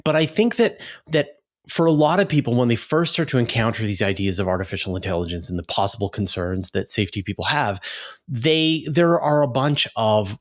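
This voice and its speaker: A man's voice: medium pitch, a little fast. A man hosting an interview in a conversational style, searching for his phrasing as he goes. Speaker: interviewer, channel host.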